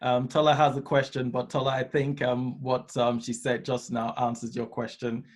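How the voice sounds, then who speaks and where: A man's voice: mid-range voice, flat delivery, moderate pace. Hesitant man hosting a Q&A. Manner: hesitant, reads out viewer questions. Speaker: session host. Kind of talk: hosting a live online Q&A.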